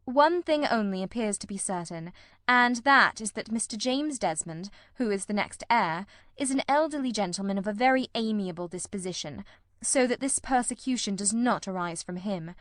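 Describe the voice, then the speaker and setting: A woman voicing a grave, shrewd male detective in a storybook, speaking in precise, deliberate, formal sentences. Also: mid-range voice, animated, a bit bright, no room sound, clean studio sound, close to an everyday voice. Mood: measured, analytical.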